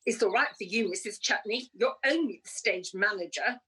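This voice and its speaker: A woman's voice: mid-range voice, normal speed, bright, forceful tone, a little hiss or hum, natural voice, rough recording. A woman playing a proud, disgruntled village lady in a radio pantomime.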